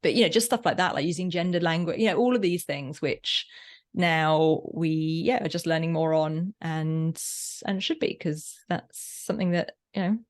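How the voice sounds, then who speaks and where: Woman, moderately low pitch, informal interview guest, discursive, frequent rephrasing, conversational. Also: normal speed. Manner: informal, discursive, often rephrasing mid-sentence. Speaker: podcast guest, workplace learning facilitator.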